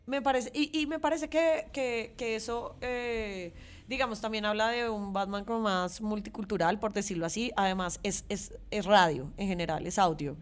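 A Colombian woman speaks in a medium-pitched voice as a podcast co-host. She talks informally and thinks aloud, repeating small words and hesitating as she builds her point. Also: moderate pace. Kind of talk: casual chat about pop culture.

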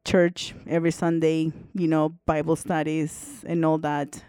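A woman's voice: deep voice, little pitch movement, measured pace, warm tone, clear, resonant tone, no room sound, studio quality. A woman in her forties from El Salvador, co-hosting a podcast in a chatty, casual way with lots of fillers and laughter. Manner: chatty, laughs often, many fillers like "you know". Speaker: podcast co-host. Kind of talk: casual conversational storytelling.

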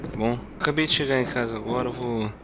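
A man's voice: medium pitch, close to an everyday voice. An actor with a Brazilian accent playing a shaken, weary young man in a naturalistic audio drama, muttering repetitively. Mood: weary, reassuring. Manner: repetitive, hurried reassurances, muttering. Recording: noisy track with clicks.

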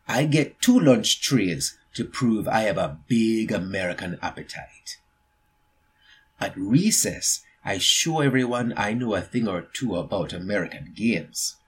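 An eager, earnest young boy, voiced by a man, tells his picture-book story. The voice is husky and goes slowly and deliberately, stressing words for young listeners with storyteller emphasis. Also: mid-range voice, exaggerated voice. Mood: confident. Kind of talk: expressive picture-book reading.

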